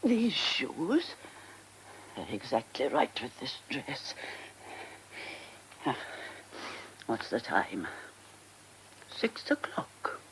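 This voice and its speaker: Casting: eccentric faded older diva, radio drama monologue, theatrical and halting, very unhurried, faint noise on the recording. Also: female voice, a bass voice, wildly swooping pitch, heavily acted, rough recording. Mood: fussy, musing. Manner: theatrical, halting, breathy muttering to herself. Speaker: actress.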